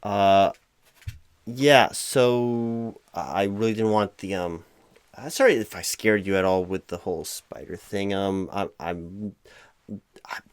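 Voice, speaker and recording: A man playing a flustered, distracted hiring manager in a comedic audio roleplay, speaking in a halting, stammering, awkwardly formal way. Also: on the low side, clear, resonant tone, dry recording. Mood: rattled, hesitant. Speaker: performer playing a job interviewer. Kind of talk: one-sided comedic audio roleplay, loud spoken.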